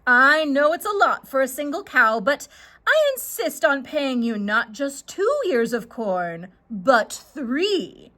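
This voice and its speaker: Woman voicing a gushing, smooth-talking little swindler. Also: high-pitched, sing-song, takes it slowly, bright, forceful tone, full character voice. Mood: haggling. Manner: gushing, wheedling salesman patter. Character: short round grinning stranger, smooth-talking swindling trader.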